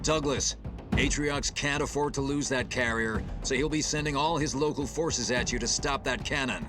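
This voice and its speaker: An actor playing a steady, authoritative military starship captain in a dramatic video game, speaking in measured commands. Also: male voice, medium pitch, natural voice. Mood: resolute. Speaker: ship captain. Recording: loud game music behind. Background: music.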